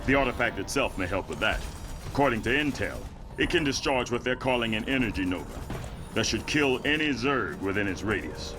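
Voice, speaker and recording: An actor playing a composed, honorable military general in a video game, speaking in a measured, formal briefing manner. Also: male voice, mid-range voice, animated, over music, lightly acted. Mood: businesslike.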